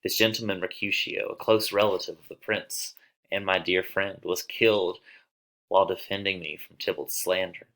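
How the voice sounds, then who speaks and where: A man's voice: sits low, steady tone, normal speed, fairly bright tone, poor audio. A man playing an impassioned young nobleman, declamatory and intense, in a dramatic play reading. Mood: rueful. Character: impassioned young nobleman, lovesick turned vengeful.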